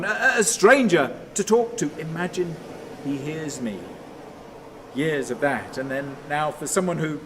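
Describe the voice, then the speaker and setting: A brooding, lonely man delivers a radio drama monologue in halting, repeated fragments. His voice sits a little high, and there is clear ambient noise behind him. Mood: lonely, weary. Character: troubled, lonely man obsessively telling himself stories. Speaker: actor in a radio play.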